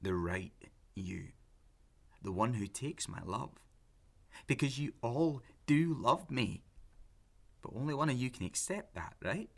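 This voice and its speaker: A man's voice: medium pitch, lively intonation, measured pace, gravelly, long pauses. An actor playing an obsessive, clingy lovelorn man in an audio drama, pleading and intense. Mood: smitten, insistent. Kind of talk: naturalistic audio drama acting.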